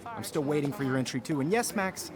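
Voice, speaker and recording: Animated, wry male teacher, played by an actor. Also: on the high side, fast, a crowd in the background, natural voice. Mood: firm, encouraging. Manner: articulate, theatrical, wry lecturing. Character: confident, teasing male photography teacher at an academy. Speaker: actor voicing a video game teacher. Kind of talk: classroom lecture in a narrative video game.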